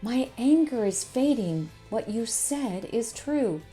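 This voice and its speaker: A woman's voice: a little high, expressive, takes it slowly, faint music behind, pitched above the performer's own voice. A woman voicing an angry, upset little boy who whines and insists in a children's storybook. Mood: relieved. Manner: whiny, stomping, shouting insistence. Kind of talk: children's storybook read-aloud.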